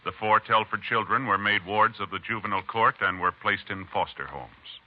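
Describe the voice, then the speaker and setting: A male announcer reading a formal epilogue and sign-off in a measured, authoritative way on a dull old radio broadcast. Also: fairly low pitch.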